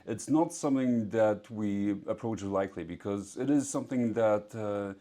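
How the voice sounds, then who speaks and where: Low-voiced young doctor, earnest. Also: male voice, measured pace, warm tone. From Poland, raised in Singapore. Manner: earnest, explanatory, with fillers. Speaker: physician guest. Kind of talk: interview answers. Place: clinic in Warsaw.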